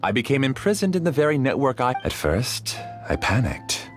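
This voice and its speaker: An actor voicing a smug, calculating villain in a video game, with a smooth, theatrical, self-justifying manner. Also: male voice, medium pitch, big pitch swings, moderate pace, music in the background, close to an everyday voice. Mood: smug, self-satisfied. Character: smug, calculating male villain, master of a dream world.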